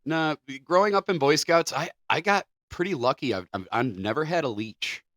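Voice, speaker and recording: A man's voice: on the high side, lively intonation, moderate pace, a bit bright, dry recording, clean studio sound. Rambling male podcast storyteller. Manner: rambling, restarts, swears casually. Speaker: podcast co-host.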